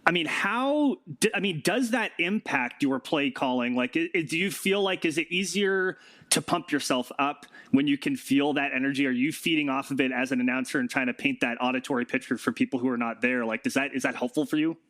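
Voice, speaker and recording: A man's voice: mid-range voice, a little fast, a bit bright. A man hosting a casual podcast, asking rambling questions that stop, start and correct themselves. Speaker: podcast host, interviewer. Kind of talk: casual chat.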